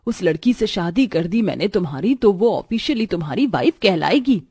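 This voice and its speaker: Woman as a fretful middle-aged mother, medium pitch. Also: animated, normal speed, mellow, lightly acted. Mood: anxious. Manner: earnest, fretful. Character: worried, protective middle-aged mother of a groom.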